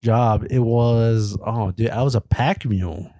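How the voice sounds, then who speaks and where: A young man guesting on a comedy podcast, telling stories in casual chat with self-mocking, deadpan jokes. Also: mid-range voice, unhurried, dry recording.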